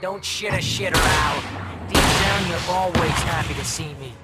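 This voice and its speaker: A man's voice: a little high, a little slow, close to an everyday voice. An actor playing a brash imaginary best pal in a video game, glib, slangy and sarcastic as he teases and needles. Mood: teasing. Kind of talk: comic game dialogue. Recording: loud music behind the voice.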